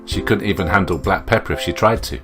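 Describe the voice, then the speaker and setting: A man's voice: sits low, fast. A man voicing a teasing, scolding schoolgirl in a visual novel, with playful mock indignation. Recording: voice over game music.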